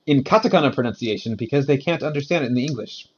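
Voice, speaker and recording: A man who teaches English in Japan, chatting casually on a podcast and explaining with animated frustration. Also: mid-range voice, racing, slightly rough, lo-fi sound.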